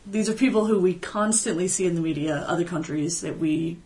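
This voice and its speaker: A woman with a lowish voice, speaking matter-of-factly as a panelist. A faint background hum sits under her words. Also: a little fast, darkish tone. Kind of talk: panel discussion.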